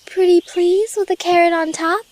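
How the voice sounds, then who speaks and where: A woman voicing a gentle, patient, motherly young female cartoon pony in an audio drama, soft-hearted and coaxing. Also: very high-pitched, steady tone, moderate pace, no room sound. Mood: pleading. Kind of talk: scripted audio drama character voice.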